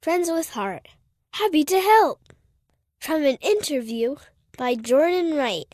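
High-voiced woman reading slowly and cheerfully. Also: very animated, a little mellow, dry recording, clean studio sound. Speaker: reader of a children's magazine. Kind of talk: scripted narration.

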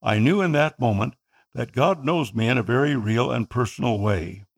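Man, Tagalog speaker, slightly gravelly, earnest narrator for a scripted personal story. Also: mid-range voice. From Philippines. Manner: earnest, measured reading.

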